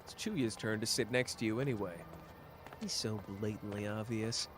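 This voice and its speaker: A man's voice: lowish voice, normal speed, slight background noise, lightly acted. A man voicing a playful, theatrical young man who teases and plays up his suffering, in an ASMR roleplay. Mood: wry. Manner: soft, teasing and theatrical.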